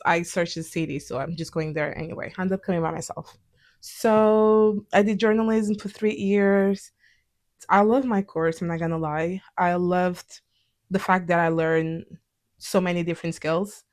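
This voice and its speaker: Lower-voiced young Portuguese-accented woman. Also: a little mellow. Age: young adult. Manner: conversational, reflective. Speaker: vlog host. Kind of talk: candid personal vlog monologue.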